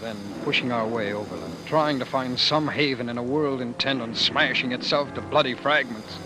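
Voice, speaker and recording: A man's voice: mid-range voice, normal speed, background noise, natural voice. An actor playing a haunted, intense man narrating his flight from war, brooding and theatrical, in an old radio drama. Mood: bitter intensity. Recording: vintage radio recording with music behind.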